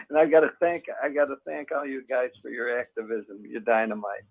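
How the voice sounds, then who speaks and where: An older man calling in to a group chat, talking in a folksy, warm and effusive way. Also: mid-range voice, level tone, moderate pace, vocal fry. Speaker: caller. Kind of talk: casual call-in chat. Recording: muffled call audio.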